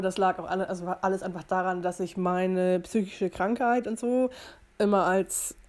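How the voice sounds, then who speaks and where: A woman's voice: fairly low pitch, a little mellow. A woman in her early twenties from Germany hosting a podcast, explaining things about herself informally and rephrasing as she goes. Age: early 20s. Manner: informal, explanatory, self-reflective, circling back to rephrase. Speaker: podcast host. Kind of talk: casual personal monologue to listeners.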